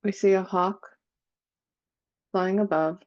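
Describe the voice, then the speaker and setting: A woman leading a guided meditation in a slow, soothing manner, with long pauses between phrases. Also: mid-range voice, fairly even intonation, a little mellow, muffled recording.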